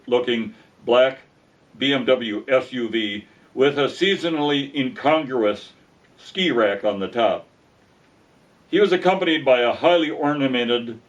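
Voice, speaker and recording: A man narrating a comic story aloud in a wry, folksy manner. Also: mid-range voice. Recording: dull lo-fi sound, distant from mic.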